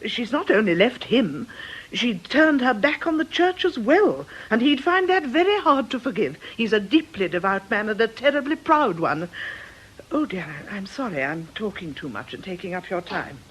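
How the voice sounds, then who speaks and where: Fretful deaconess, lively, husky voice. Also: female voice, fairly high pitch, moderate pace, low-quality recording. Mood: worried, hesitant. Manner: hesitant, proper, apologetic. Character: earnest, fretful church deaconess, polite and hesitant. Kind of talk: radio drama acting.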